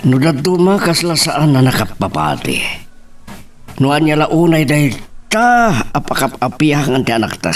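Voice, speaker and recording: A man's voice: fairly high pitch, sing-song, moderate pace, distant mic. A man playing a serious, concerned middle-aged father in an Ilocano radio drama. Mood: grave concern.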